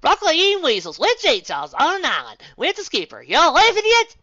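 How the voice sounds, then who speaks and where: A woman's voice: high voice, huge pitch swings, brisk, bright, forceful tone, no echo, lo-fi sound, pitched above the performer's own voice, heavily acted. A woman voicing a plain-spoken, stubborn female farm pony with a folksy American country accent and an exasperated manner. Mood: mocking, wild.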